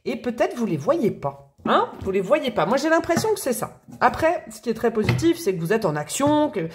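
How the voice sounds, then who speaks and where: A woman doing a casual, chatty tarot reading to camera, with expressive, playful emphasis. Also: fairly high pitch, fairly quick, slight background noise. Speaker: tarot reader.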